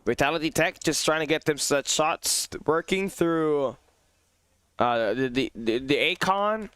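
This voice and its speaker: A man doing live play-by-play on a competitive mobile shooter match, with a fairly high pitch and a smooth, clear tone. His voice sounds worn and hoarse from tiredness, yet he keeps pushing the energy, often climbing into excited shouts. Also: unhurried, no echo. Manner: excitable, rising to shouts at big plays. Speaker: esports caster. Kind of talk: live esports play-by-play commentary. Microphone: phone on a low battery.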